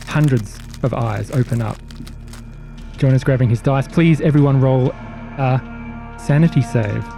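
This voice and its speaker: A man running a tabletop horror role-playing game as game master, narrating in deliberate, suspenseful phrases. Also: mid-range voice, mellow. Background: faint music.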